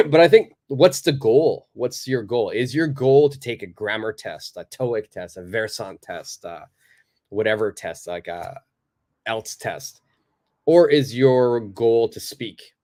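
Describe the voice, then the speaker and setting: A male native English speaker teaching a live online English lesson, answering viewers' questions in a relaxed, chatty way with lots of fillers. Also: mid-range voice, slow pace. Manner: relaxed and conversational, many fillers, acts out little examples.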